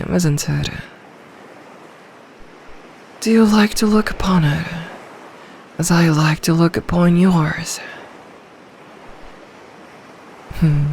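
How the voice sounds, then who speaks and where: A woman playing an ancient, possessive sea dragon in human form for an ASMR roleplay, speaking in a regal, seductive, deliberate manner. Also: low voice, a little mellow, slight background noise. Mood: sultry, probing.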